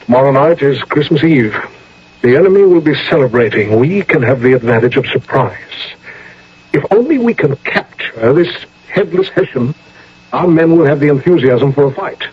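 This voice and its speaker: A man acting a grave, commanding general in a radio drama, speaking with measured authority. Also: medium pitch, animated, husky. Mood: stern, resolute. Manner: measured, authoritative interrogation and orders. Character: grave, commanding male general of the Revolutionary War. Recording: muffled old radio broadcast.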